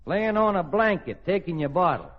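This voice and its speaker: A man's voice: high voice, lively intonation, moderate pace. An actor playing a folksy, plain-spoken Texan oil man of few words in a radio comedy. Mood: friendly, folksy. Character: folksy, laconic Houston oil man courting a widow. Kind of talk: 1940s-50s radio comedy acting. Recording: dull old radio recording, studio audience, hiss.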